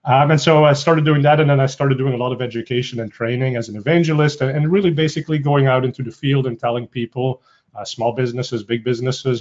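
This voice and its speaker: A man with a Belgian Dutch accent, speaking at a middling pitch as he presents a webinar in a loose, conversational way, filling gaps with uh and um. The audio is dull and lo-fi, like a computer mic on an online call. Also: darkish tone. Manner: conversational, frequent uh and um fillers. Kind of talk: casual presentation.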